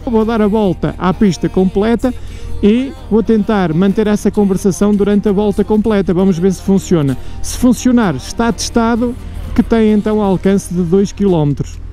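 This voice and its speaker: A man's voice: high voice, normal speed, dark tone, soft background music. A man with a European Portuguese accent giving casual vlog commentary as host while riding a motorcycle, speaking from inside his helmet. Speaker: motovlog host.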